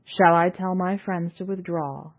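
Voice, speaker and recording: A woman voicing a cool, mocking woman rival captain who speaks low and unhurried. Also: fairly even intonation. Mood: taunting. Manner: slow, low and taunting. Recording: phone-like thin sound.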